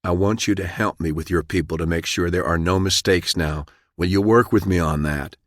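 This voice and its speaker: A man voicing a stern, battle-weary former army colonel who is principled and speaks in a commanding, forceful way. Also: low-pitched, normal speed, dry recording, studio quality, natural voice. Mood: weary, reassuring.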